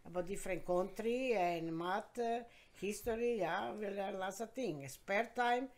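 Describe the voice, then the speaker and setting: A woman, being interviewed by her grandkids and telling her story in plain, halting, broken English. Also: mid-range voice, a little mellow. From Croatia. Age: 70s. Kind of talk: casual interview.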